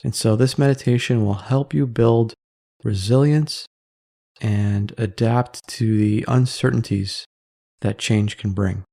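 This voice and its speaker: Slow, low-voiced man guiding meditation. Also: gravelly, no room sound, studio quality. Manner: soft-spoken, measured, calming delivery with pauses. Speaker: guided meditation teacher. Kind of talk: guided meditation narration.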